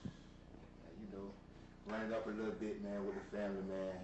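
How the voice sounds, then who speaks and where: A man's voice: lowish voice, completely flat delivery, normal speed, dull audio. A young man from South Florida, a rapper guest in a casual interview, talking in laid-back street slang and often saying "you feel me.". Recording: roomy, distant mic with noise.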